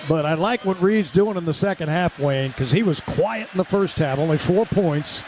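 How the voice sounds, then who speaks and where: A man calling live basketball play-by-play on the radio in an excitable, punchy delivery that rises with the action. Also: fairly high pitch, normal speed. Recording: loud arena noise behind the voice. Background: basketball game ambience.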